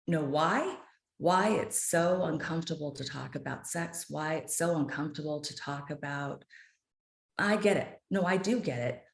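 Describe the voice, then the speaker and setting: A woman's voice: sits low, roomy sound. A woman in her fifties, a guest author on a podcast, speaking earnestly and with passion. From United States. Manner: earnest, impassioned, speaks with conviction. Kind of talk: podcast interview.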